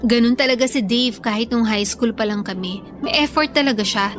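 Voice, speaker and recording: A woman's voice: fairly high pitch, fairly quick, over music, lightly acted. An actress playing a sweet, bashful young college woman in a Filipino radio drama, speaking with a Filipino accent. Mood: pleased.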